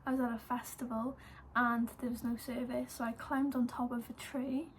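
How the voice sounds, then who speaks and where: A woman's voice: fairly high pitch, level tone, normal speed, a little hiss or hum, low-quality recording. An eighteen-year-old woman with a Welsh accent, casually telling a story to camera, full of "like" and "um". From Wales. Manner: chatty, lots of "like" and "um". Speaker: vlogger.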